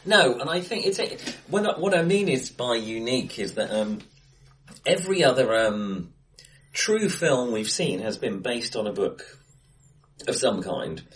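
A man co-hosting a casual film discussion in a bright room, speaking in stop-start phrases with emphatic bursts. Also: medium pitch, sing-song, a little slow. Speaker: podcast co-host. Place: acoustically bright room, face to face.

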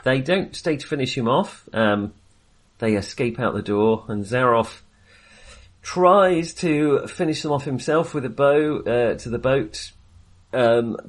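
A man co-hosting a film podcast in casual conversation, with a hesitant, rambling and wry way of talking. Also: medium pitch.

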